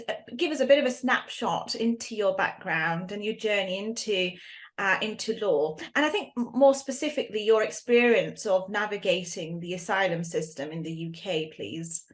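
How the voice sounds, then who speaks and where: A woman hosting a podcast, asking a guest friendly questions with some fillers. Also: medium pitch. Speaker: podcast host. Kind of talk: interview questions.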